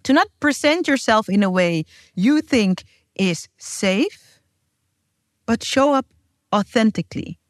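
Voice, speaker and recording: A woman's voice: on the high side, animated, slow, pauses often, no room sound. Woman, earnest and emphatic podcast host, dry close sound. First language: Dutch. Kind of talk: scripted podcast intro and casual chat.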